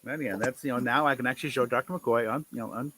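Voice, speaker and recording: A man's voice: mid-range voice, rapid-fire, pushed and bright, slight background noise. A man hosting a casual livestream chat in a laid-back way, often agreeing and murmuring along. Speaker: stream host.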